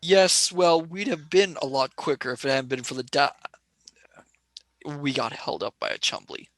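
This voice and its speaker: A man's voice: on the high side, animated, normal speed, a bit bright, dry recording. A man voicing a blunt, skeptical young male space pilot who argues casually in a radio play. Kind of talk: amateur radio play acting.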